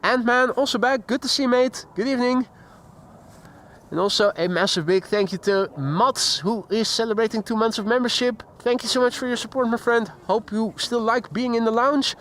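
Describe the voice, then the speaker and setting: A man's voice: very high-pitched, normal speed, fairly bright tone. A man hosting a casual live stream outdoors, chatting with viewers and greeting them by name. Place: outdoors near an airport. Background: faint outdoor traffic and voices.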